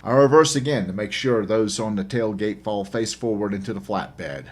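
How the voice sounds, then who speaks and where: A man reading his own horror novel as dramatic first-person narration, husky and intense in delivery. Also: fairly low pitch, normal speed. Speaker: author reading own audiobook.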